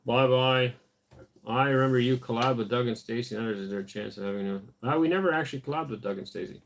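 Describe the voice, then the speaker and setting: A tired man hosting a casual homestead livestream, reading viewer comments aloud and answering in a relaxed way with fillers. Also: medium pitch, fast, a little hiss or hum.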